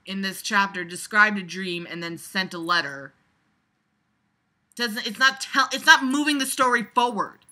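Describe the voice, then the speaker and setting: Casting: very bright, sharp voice for an exasperated read-along critic; casual, blunt, sarcastic, swings from muttering to emphatic outbursts. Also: mid-range voice. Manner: animated, emphatic, jumps from muttering to loud outbursts. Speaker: reader reviewing a book aloud. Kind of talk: casual running commentary while reading a novel aloud.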